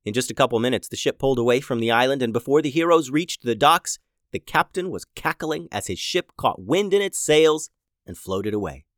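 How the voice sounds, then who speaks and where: A man's voice: mid-range voice, lively intonation, fairly quick, no room sound, clean studio sound. A man hosting and narrating a children's story podcast in a playful, silly manner, his voice a little scratchy.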